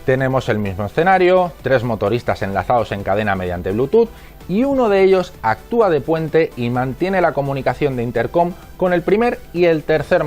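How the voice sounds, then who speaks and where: A man's voice: medium pitch, darkish tone. A man with an accent from Spain reading scripted instructional narration in a methodical, didactic manner. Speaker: narrator of a product explainer.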